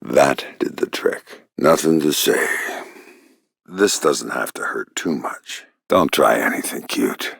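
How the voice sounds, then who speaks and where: An actor playing a gruff, wry, middle-aged covert agent in a video game, speaking tersely in a gravelly, often breathy growl with deadpan sarcasm. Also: male voice, rumbling low, slow pace, no room sound, clean studio sound, heavily acted. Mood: quiet, sardonic.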